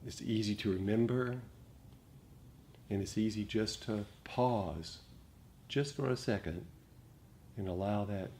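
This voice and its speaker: Low-voiced man teaching meditation. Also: a little slow, pauses often, mellow. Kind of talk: dharma talk to a meditation group. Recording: noticeable background noise.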